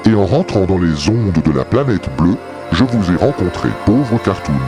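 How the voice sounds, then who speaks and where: A man's voice: low voice, expressive, normal speed. A man voicing a solemn male artificial intelligence who recounts a tale of origins in a grave, storytelling manner. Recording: music bed behind.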